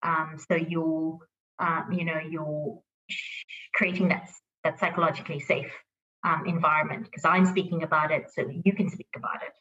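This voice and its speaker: A woman from Sri Lanka, a team manager speaking slowly and thoughtfully, pausing often on fillers in a workplace conversation. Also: low voice, fairly even intonation, fairly bright tone, dull audio. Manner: slow and thoughtful, with many fillers.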